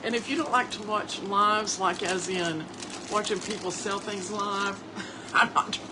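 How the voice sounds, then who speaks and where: Hoarse woman chatting folksy. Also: medium pitch, fairly even intonation, unhurried, background noise. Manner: chatty, folksy, addresses listeners as family. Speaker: host. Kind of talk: casual livestream chat.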